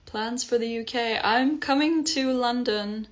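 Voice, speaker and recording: A young woman from Iceland, a singer-songwriter chatting casually on a livestream from home, relaxed with frequent ums. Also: a little high, a little slow. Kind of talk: casual livestream chat between songs. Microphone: phone livestream. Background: guitar playing.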